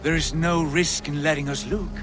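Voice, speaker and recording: A man's voice: a little high, steady tone, moderate pace, music in the background, lightly acted. A man playing a proud, grandiose Viking lord in a video game, with a solemn, lordly delivery. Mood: solemn, insistent. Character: proud, grandiose Viking lord who believes himself divine. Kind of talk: dramatic video game acting.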